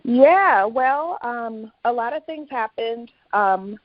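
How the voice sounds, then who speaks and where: A woman's voice: a little high, expressive, slow pace, no echo, highs cut off. A woman speaking as a guest author in a casual interview, explaining things in a conversational way.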